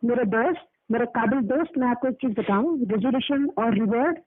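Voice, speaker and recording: A woman's voice: on the high side, lively intonation, fairly quick. A woman arguing as a customer's advocate on a recorded phone call, lecturing and questioning, repeating phrases for emphasis. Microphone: telephone.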